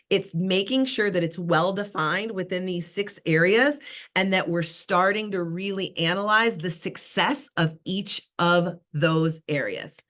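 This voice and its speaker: A woman's voice: lowish voice, a bit bright. A woman sales coach leading a live online class over a video call, upbeat and encouraging, calling on participants by name.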